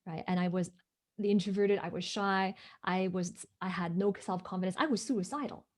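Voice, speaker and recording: A woman's voice: lowish voice, normal speed, darkish tone. A woman from Hong Kong, not a native English speaker, telling her story as an interview guest, emphatic and often asking "right?". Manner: emphatic, repeats "right?" for agreement.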